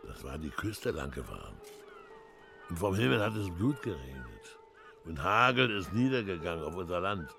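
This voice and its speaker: Gravelly old doom-saying villager. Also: male voice, deep voice, very animated, moderate pace, background noise, full character voice. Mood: ominous, rambling. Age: older. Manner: rambling, ominous, fervent storytelling. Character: old doom-saying North Frisian villager telling omens. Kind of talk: German radio drama acting. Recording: music behind the voice.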